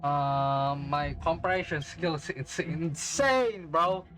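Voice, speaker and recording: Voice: medium pitch, slow, a bit bright, soft background music. A streamer giving casual gaming reactions in a slangy, exclamatory way.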